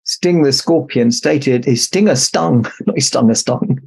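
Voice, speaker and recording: A man narrating a children's story aloud as an expressive storybook reader. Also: medium pitch, measured pace, creaky, slightly rough. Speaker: storybook reader in Santa persona.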